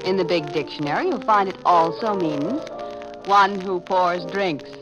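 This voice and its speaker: Lady doing a brisk knowing newspaper gal, old radio drama, lower voice, music in back. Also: lo-fi sound, close to an everyday voice. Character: brisk, clever female newspaper reporter. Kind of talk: 1940s radio drama acting.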